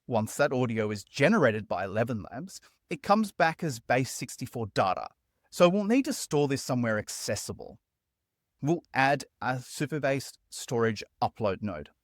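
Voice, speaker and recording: A man hosting a software tutorial as a scripted voice-over, explaining steps in an upbeat, conversational way. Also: medium pitch, sing-song, moderate pace, no echo, studio quality. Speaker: tutorial host.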